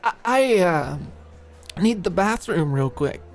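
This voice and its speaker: A woman's voice: fairly low pitch, animated, slow pace, pitched above the performer's own voice. Audiobook role: woman reader as jittery young woman, forced casual and flippant, music underneath. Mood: high-strung, flippant. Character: nervous, high-strung young woman hiding hurt behind flippancy.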